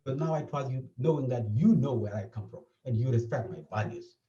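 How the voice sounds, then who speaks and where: A man's voice: mid-range voice, lively intonation, brisk, warm tone, soft background music. A man from South Sudan with a South Sudanese accent, speaking as a therapist in an interview and explaining his points earnestly, often repeating them. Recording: dull, echoey, from a distance.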